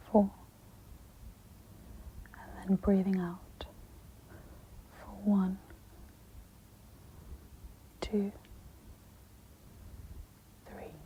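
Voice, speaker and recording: A woman speaks in a whispery, breathy voice, gently guiding a meditation in a soft-spoken ASMR style. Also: mid-range voice. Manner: slow, gentle, instructional. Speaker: host of guided meditation ASMR. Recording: dull lo-fi with audible background noise.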